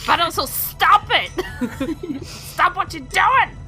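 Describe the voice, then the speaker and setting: A woman's voice: very high-pitched, lively intonation, slow pace, sharp tone, faint music behind. A woman chatting casually as a player in a tabletop role-playing game, with a playful, giggly manner. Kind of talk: casual chat.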